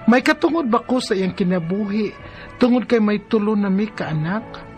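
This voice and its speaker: An actress with a Cebuano Filipino accent playing a distressed, betrayed live-in wife in a radio drama. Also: female voice, mid-range voice, lively intonation, moderate pace, a little mellow, soft background music, close to an everyday voice. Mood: troubled, earnest.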